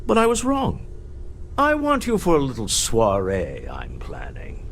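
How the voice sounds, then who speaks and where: A shrewd, suave spacefaring businessman in a video game. He talks in a polished, theatrical way, his pitch swinging freely to persuade. Faint ambient noise sits behind the voice. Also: wildly swooping pitch, a little slow. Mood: smooth, persuasive.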